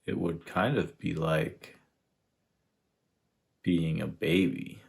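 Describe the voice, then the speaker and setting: A man leading a guided meditation, speaking in an unhurried, reflective way with long pauses between phrases. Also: extremely low pitch, fairly even intonation, vocal fry. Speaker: meditation guide, spiritual teacher. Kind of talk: guided meditation, contemplative teaching.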